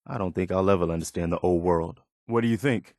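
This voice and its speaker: An actor playing an earnest, principled militia officer in a video game, sincere and plain-spoken, loyal yet easily disappointed. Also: male voice, low-pitched, fast, darkish tone, no room sound, clean studio sound. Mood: curious, bemused. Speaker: video game companion character.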